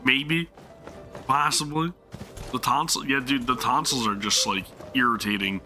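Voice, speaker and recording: A man's voice: medium pitch, steady tone, unhurried, pushed and bright, faint music behind. A man streaming a game live, chatting casually while his sore throat makes him lose his voice, rambling and apologizing often. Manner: apologetic, rambling, many restarts.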